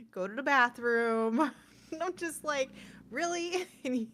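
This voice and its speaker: A woman's voice: sits high, animated, takes it slowly, a bit bright, slight background noise. A woman co-hosting a gaming news show, chatting casually and informally. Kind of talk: casual chat.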